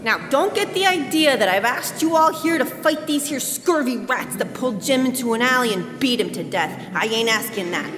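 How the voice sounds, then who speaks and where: A feisty street apple seller, acted by a woman, speaks high with big swings of pitch in folksy, emphatic phrases. It is a live radio play in an echoey hall with music behind. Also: animated, normal speed, hardly any pauses, fairly bright tone. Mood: rallying, determined. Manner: folksy, emphatic, ungrammatical speechmaking. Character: feisty old street apple seller, plain-spoken woman rallying beggars. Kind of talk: live stage radio drama acting. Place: church hall stage.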